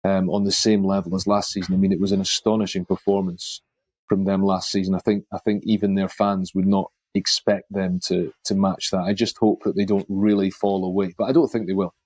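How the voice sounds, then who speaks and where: A man with a very deep voice and a flat, even delivery, speaking as a football pundit on a podcast panel. His points are measured and considered, and the recording is dry and close. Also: no echo.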